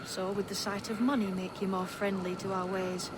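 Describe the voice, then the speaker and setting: Man acting a high-voiced, earnest assassin. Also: level tone, normal speed, noisy background, natural voice. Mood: earnest, persuasive. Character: earnest, blunt adult male member of assassin order. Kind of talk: video game cutscene acting.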